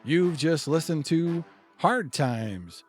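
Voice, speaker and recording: Animated male host over light music. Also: on the high side, slow. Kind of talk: scripted credits announcement.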